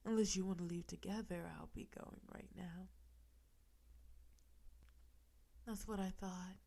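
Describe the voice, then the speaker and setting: A woman's voice: on the low side, fairly even intonation, fairly quick, creaky, warm tone. A woman playing a flirty, smug mafia boss in a noir audio roleplay, teasing and seductive, often slipping toward a whisper. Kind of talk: scripted audio roleplay in film noir cliches.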